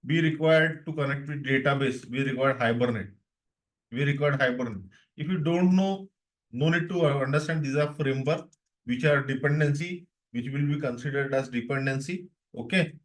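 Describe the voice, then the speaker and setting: A man's voice: mid-range voice, low-quality recording. A young man with an Indian English accent teaching a live online tech lesson, repeating himself and often checking that students follow. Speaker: DevOps instructor.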